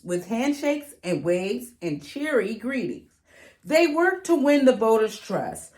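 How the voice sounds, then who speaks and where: A woman reading a rhyming children's picture book aloud in an expressive, sing-song way. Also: mid-range voice. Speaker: read-aloud reader.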